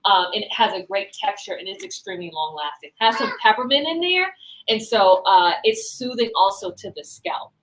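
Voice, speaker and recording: A woman's voice: mid-range voice, lively intonation, moderate pace, a bit bright, slight background noise, poor audio. A woman from the Bahamas presenting and demonstrating her hair-care brand's products, explaining step by step and often checking in with "right?".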